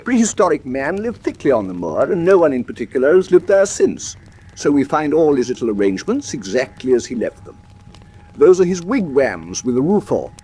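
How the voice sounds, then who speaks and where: An actor playing an eager, talkative naturalist in a radio drama, with a polite, hesitant, wordy manner. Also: male voice, mid-range voice, big pitch swings, fairly quick, warm tone, breathy edge. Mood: animated. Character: eager, talkative naturalist man living on the moor. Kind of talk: classic radio drama acting.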